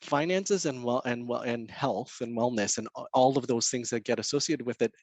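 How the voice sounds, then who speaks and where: A man's voice: medium pitch, moderate pace, no room sound. A Canadian man whose first language is Portuguese, a financial counselor speaking as a guest, earnest and thinking aloud with lots of fillers. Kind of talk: guest in an interview.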